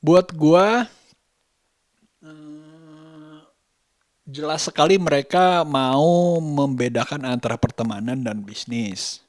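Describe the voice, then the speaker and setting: Man, slow deliberate delivery, searching for words, casual opinion vlog, dry close sound. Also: fairly high pitch, no echo. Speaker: vlogger, host.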